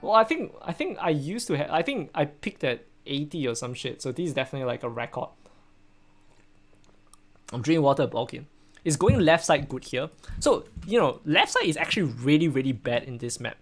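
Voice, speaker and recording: A man's voice: a little high, big pitch swings, a little fast, faint music behind. A young man from Singapore with a Singaporean English accent, casually analyzing a game replay on a stream, rambling and checking in with "right?" a lot. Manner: rambling, repeats words, says "right?" often. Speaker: streamer and coach.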